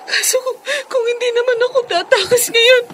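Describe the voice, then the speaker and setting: An actress in a Filipino radio drama playing a distressed young domestic worker, pleading and tearful. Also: female voice, extremely high pitch, fairly even intonation, moderate pace, fairly bright tone, slight background noise. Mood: desperate, fearful. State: crying. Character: terrified, desperate young woman, abused overseas domestic worker.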